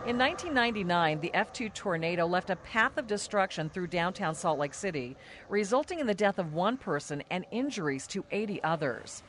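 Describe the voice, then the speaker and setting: An American woman reading scripted radio news narration in a formal, measured manner. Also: on the low side, lively intonation, a bit bright, a little hiss or hum. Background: faint music under narration.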